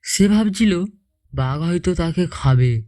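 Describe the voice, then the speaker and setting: A man narrating a scripted children's story in a measured way, as if for small children. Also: high-pitched, expressive, warm tone.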